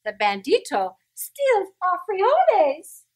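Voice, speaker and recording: A woman voicing a small, excitable male dog in a children's story, explaining things with dramatic flourish. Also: very high voice, slow, pitched above the performer's own voice, heavily acted. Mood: alarmed.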